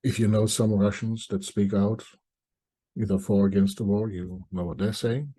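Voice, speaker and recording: A middle-aged man from East Germany, giving reflective spoken commentary to viewers in deliberate short phrases with pauses. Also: on the low side, steady tone, mellow, husky. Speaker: commentator presenting a video essay.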